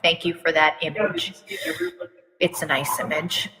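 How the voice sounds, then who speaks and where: A woman's voice: deep voice, fairly even intonation, a little slow, noisy background. A woman reading a story aloud theatrically, breaking in with sarcastic asides of her own. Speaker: reader of fan fiction with commentary. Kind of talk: dramatic storytelling with joking asides. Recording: long hall-like reverb.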